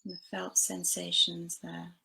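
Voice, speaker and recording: A woman leading a guided body scan meditation, giving gentle, unhurried instructions with long pauses between phrases. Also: on the low side, monotone, gravelly, slight background noise, low-quality recording. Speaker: meditation teacher.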